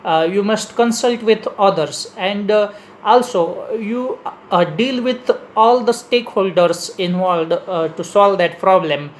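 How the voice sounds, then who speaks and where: Halting Indian-accented speaker with noisy background. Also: medium pitch. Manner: halting, hesitant delivery with frequent pauses. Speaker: speaker giving a motivational talk. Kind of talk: informal lecture on personal development.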